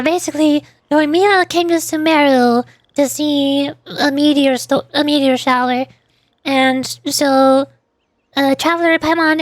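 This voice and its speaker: A woman's voice: sits high, dry recording. A woman streamer chatting her way through a live game, rambling and stumbling as she explains things. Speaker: VTuber streamer. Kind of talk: live game stream commentary with read-aloud voice acting.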